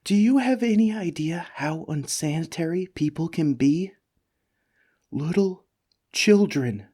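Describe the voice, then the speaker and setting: A man's voice: on the high side, lively intonation, measured pace, mellow, slightly rough. A man voicing an anxious, self-deprecating mimic monster living as a talking couch, hesitant and pleading in a comedic ASMR roleplay. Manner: hesitant, pleading, stammering, rambling.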